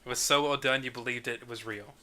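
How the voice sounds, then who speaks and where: A man's voice: mid-range voice, fairly even intonation, fast. A man hosting an online group script discussion in a casual, conversational way. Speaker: host of an online script table read. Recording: bright, noisy voice-call microphone.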